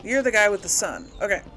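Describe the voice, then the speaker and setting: A woman giving casual game commentary as she plays, musing and thinking out loud to her audience. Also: mid-range voice, slight background noise. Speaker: gamer, host of a role-play let's play.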